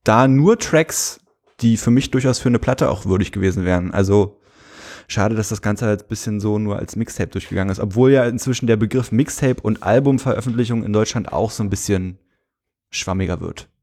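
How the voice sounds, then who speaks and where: Relaxed man chatting about music. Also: lowish voice, a little fast. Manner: relaxed, hesitant, with many fillers. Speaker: podcast co-host. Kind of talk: casual chat about music.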